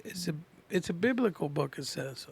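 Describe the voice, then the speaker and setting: A man with a fairly high, gravelly-edged voice chats casually. He speaks in slang and often sounds like he is asking the room a question. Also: lively intonation, moderate pace. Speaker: podcast co-host. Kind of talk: casual podcast chat.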